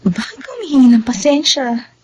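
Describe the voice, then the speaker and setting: Seductive, high-voiced Filipina sweetheart. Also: female voice, expressive, a little fast, a little mellow, husky, dry recording. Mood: sweet, insistent. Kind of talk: melodramatic Tagalog radio drama acting.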